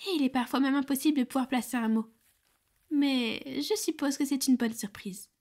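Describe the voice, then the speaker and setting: Woman as a high-pitched, bossy, brusque Cupid. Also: moderate pace, darkish tone, natural voice. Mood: bossy, warning. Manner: curt, exasperated, teasing. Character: brusque, bossy, insult-slinging female Cupid matchmaker. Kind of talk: ASMR roleplay acting.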